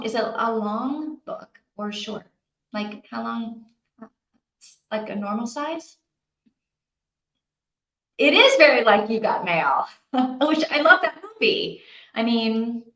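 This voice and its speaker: A woman's voice: a little high, fairly bright tone. A woman chatting casually and expressively to her livestream viewers as host, thinking aloud as she goes. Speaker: host of a live reading-sprint stream. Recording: roomy, echoey sound.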